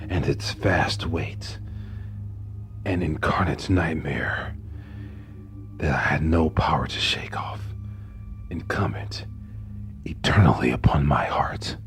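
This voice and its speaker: A man's voice: sits low, darkish tone. A man playing a tormented, brooding murderer in a theatrical Gothic horror monologue. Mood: haunted, hushed. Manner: theatrical, swings between breathy whispers and furious outbursts. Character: tormented, brooding murderer confessing with growing madness.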